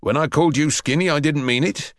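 A gruff, protective games master from an audiobook, performed by a man. He is brisk and hectoring, with pitch raised above plain narration. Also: no echo, studio quality, close to an everyday voice. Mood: brisk concern. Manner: loud, scolding, hectoring. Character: gruff, protective male PE teacher, brisk and indignant.

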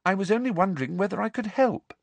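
A man's voice: high voice, animated, fast, creaky, dry recording, pitched above the performer's own voice. A man voicing a spirited, cool-headed younger teenage girl in an audiobook, direct and unflustered. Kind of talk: audiobook character voice.

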